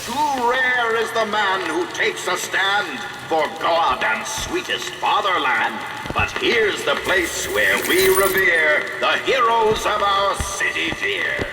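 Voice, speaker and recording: A man's voice: high voice, big pitch swings, takes it slowly, hardly any pauses, over music, full character voice. An actor playing a pompous, patriotic public announcer in a video game, declaiming his lines. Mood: reverent. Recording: distant echoey hall.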